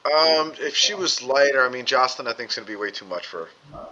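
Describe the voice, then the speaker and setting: A man's voice: medium pitch, expressive, quick pace, bright, forceful tone. A man in his forties co-hosting a podcast, chatting bluntly, joking and swearing. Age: 40s. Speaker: podcast co-host. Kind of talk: casual profane chat. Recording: muffled with faint room ambience.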